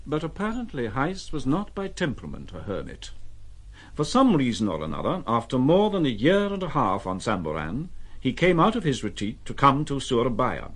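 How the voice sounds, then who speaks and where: Husky, expressive male narrator. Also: medium pitch, moderate pace. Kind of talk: scripted literary narration, dramatic.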